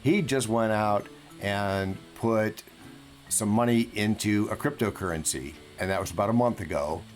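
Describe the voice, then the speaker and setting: A man giving conversational financial advice in an emphatic, deliberate manner, repeating words for emphasis. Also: on the low side. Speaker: financial coach and podcast guest speaker. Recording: music playing behind the voice.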